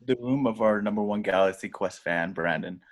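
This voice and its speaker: Low-voiced man reading stage directions. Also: a little fast. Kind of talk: scripted narration at a table read.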